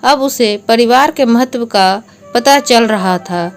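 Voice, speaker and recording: Female storyteller, slightly high voice, unhurried, scripted moral tale, light music behind.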